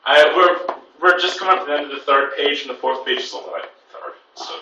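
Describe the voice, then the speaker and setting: A man's voice: mid-range voice, big pitch swings, fast, highs cut off. A man doing an informal amateur dramatic reading, flustered and often breaking off into casual chat. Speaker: amateur reader at writers' society. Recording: very echoey room, distant mic, faint noise.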